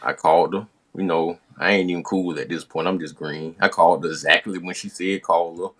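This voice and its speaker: Deep-voiced American man telling stories. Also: brisk. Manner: animated retelling with slangy, profane talk and acted-out dialogue. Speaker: podcast host. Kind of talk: casual storytelling of his younger days.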